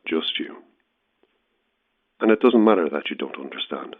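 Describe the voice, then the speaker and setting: Husky, very low menacing phone caller. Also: male voice, animated, normal speed, exaggerated voice. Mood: cold, insistent. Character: eerie, menacing male caller, unnervingly matter-of-fact. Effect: telephone filter. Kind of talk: horror audio drama acting. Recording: narrow, tinny phone line.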